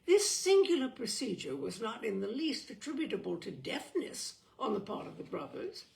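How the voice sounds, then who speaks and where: A woman reading a literary short story aloud in an expressive, measured narrating style. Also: fairly high pitch, gravelly. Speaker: story reader.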